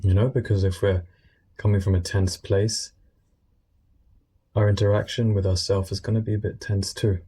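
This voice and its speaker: A man guiding a meditation in a gentle, unscripted way, speaking informally and soothingly in short phrases with pauses. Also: on the low side, little pitch movement, husky. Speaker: meditation guide.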